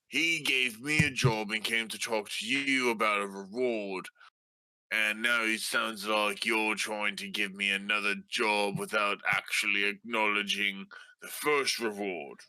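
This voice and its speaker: A man playing a tabletop role-playing game, improvising in character in a halting manner. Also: lowish voice, fairly even intonation, pushed and bright, clean tone, no echo, poor audio.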